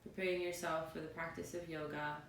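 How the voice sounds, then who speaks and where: Woman meditation guide, soothing and spacious phrasing; roomy, echoing recording with faint background rumble. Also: little pitch movement, a bit bright. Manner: soothing, unhurried phrases with pauses. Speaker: yoga and meditation teacher. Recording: roomy, distant mic, faint noisy rumble.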